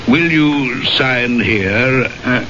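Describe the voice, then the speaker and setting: An actor playing a grave, courteous, fatalistic knighted gentleman in a radio drama, speaking formally and with measured menace. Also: mid-range voice. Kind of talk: 1970s radio drama acting. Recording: muffled, noisy old radio broadcast.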